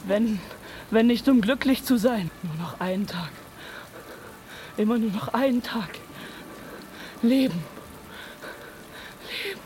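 A woman's voice: fairly high pitch, lively intonation, takes it slowly, long pauses, a little mellow, slight background noise. An actress playing a yearning woman who longs to go on living, in a stage drama. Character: yearning woman begging for one more day of life.

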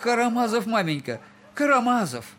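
An actor playing a shabby, drunken middle-aged captain in an audio drama, husky, clowning and mockingly over-polite. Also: male voice, very high voice, big pitch swings, normal speed, fairly bright tone, a little hiss or hum, heavily acted. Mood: servile clowning. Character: shabby drunken captain, buffoonish, humiliated yet defiant.

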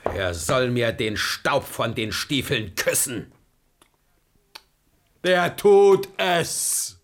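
An actor playing an irate, tyrannical old king who barks commands and broods in low mutters, in a 1970s radio drama. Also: sing-song, extremely slow, full character voice. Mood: commanding.

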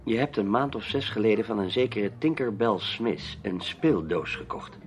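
Brisk probing newspaperman. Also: lowish voice, expressive. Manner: commanding, probing. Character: brisk, impatient male newspaperman investigating a mystery. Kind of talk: radio drama acting.

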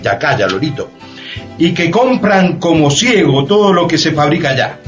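An actor playing a spirited schoolteacher in radio theatre, exhorting pupils in a didactic way with rhetorical questions. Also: male voice, fairly high pitch, big pitch swings, normal speed, a bit bright, highs cut off, soft background music. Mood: indignant, exhorting.

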